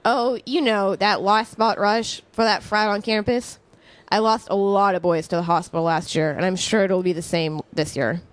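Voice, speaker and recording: A woman playing a friendly, reflective middle-aged chemistry professor in an audio drama, speaking in a measured, explanatory way. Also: medium pitch, fairly even intonation, lightly acted. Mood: friendly, concerned. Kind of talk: conversational audio drama acting.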